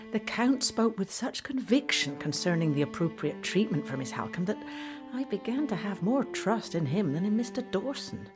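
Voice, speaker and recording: An actress playing a deferential, anxious Victorian housekeeper in an audio drama, prim, polite and earnest. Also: female voice, lowish voice, natural voice. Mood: confiding.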